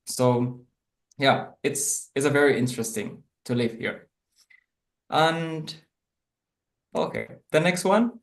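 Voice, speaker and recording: A man from Indonesia, a native Indonesian speaker, talks an online class through slides in a medium-pitched voice. He hesitates often, filling gaps with fillers and restarting his phrases. The sound bounces around an echoey room. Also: expressive, slow, creaky, pauses often. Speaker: guest presenter in an online class.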